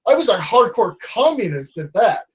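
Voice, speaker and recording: A man's voice: high-pitched, very animated, moderate pace, muffled recording. A man in his twenties debating online in a casual way, with many restarts and fillers as he argues. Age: 20s.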